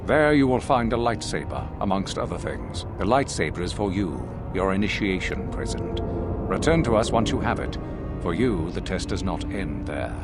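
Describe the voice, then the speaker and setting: A stern, scheming dark-side master from a video game. His voice is low and swings expressively through formal, lecturing pronouncements. Prominent music plays behind him. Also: sing-song. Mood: solemn, commanding. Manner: formal, measured, pompous, lecturing delivery. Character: stern, scheming male Sith master, mature and imperious. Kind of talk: theatrical video game villain acting.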